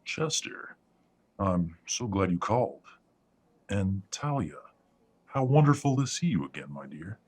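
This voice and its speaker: A man playing a suave, condescending father with a husky voice and formal, unctuous manner in an audio drama. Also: low-pitched, very animated, measured pace, pauses often, full character voice. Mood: smoothly polite. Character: Charles, suave disapproving father, smoothly condescending patriarch. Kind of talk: audio roleplay drama acting.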